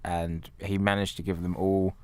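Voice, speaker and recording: A man's voice: low voice, level tone, moderate pace, darkish tone. A man co-hosting a podcast in casual conversation about films. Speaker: podcast co-host. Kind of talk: casual film chat.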